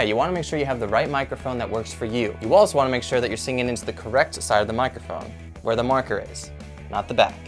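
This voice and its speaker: A young man hosting a casual music-gear tutorial, chatty and upbeat, recorded in a small room. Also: medium pitch.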